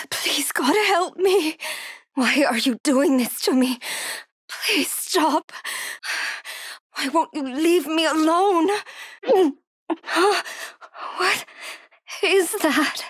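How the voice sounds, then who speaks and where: A woman's voice: high voice, no room sound, clean studio sound. An actress playing a frightened young woman survivor in a horror video game, urgent and pleading. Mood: afraid, pleading. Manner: breathy urgent self-talk, pleading.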